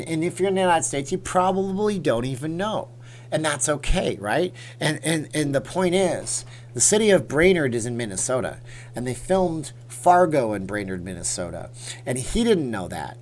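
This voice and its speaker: A man's voice: mid-range voice, slight background noise. A middle-aged man from Canada hosting a casual livestream, telling a rambling story and talking straight to his chat. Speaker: livestream host.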